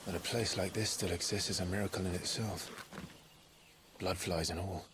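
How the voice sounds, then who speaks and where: A man's voice: low-pitched, steady tone, normal speed, noisy background, lightly acted. A man voicing a terse, grim young soldier. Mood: somber. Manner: terse, guarded. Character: grim young male soldier seeking vengeance.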